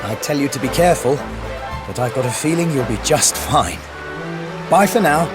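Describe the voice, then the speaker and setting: A man's voice: medium pitch, animated, moderate pace, lightly acted. An actor playing a polite, humble, earnest townsman in a video game. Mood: grateful. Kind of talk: video game cutscene acting. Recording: loud game music behind.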